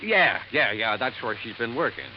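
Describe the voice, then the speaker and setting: An actor playing a rough, defensive male murder suspect in a 1950s radio drama, gruff and hesitant. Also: medium pitch, animated, moderate pace, natural voice. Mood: evasive, uneasy. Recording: muffled, noisy old radio recording.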